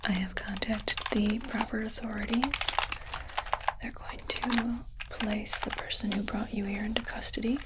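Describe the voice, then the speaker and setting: A woman playing a calm, clinical but kindly alien medic in a whispered ASMR roleplay, hesitant and softly reassuring. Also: medium pitch, a little slow, very noisy, lightly acted. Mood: calm, official. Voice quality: breathy unvoiced whisper.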